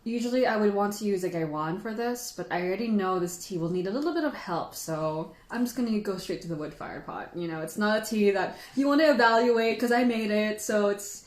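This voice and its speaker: A woman's voice: medium pitch, brisk, hardly any pauses. Sentimental woman livestreamer in an echoey room. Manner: sentimental, chatty, giggly. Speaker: livestream host and tea teacher. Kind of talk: casual livestream chat.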